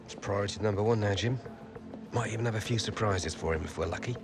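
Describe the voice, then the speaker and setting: A man playing a smooth-talking, reassuring football agent in a video game cutscene. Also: on the low side, fast, over music, lightly acted. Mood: assured, scheming.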